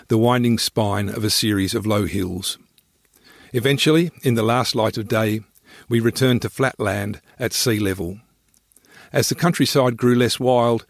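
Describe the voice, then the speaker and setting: Low-voiced man reading a travel story, creaky. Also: no room sound, clean studio sound. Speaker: author narrating own travel memoir. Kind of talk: scripted narration, literary storytelling.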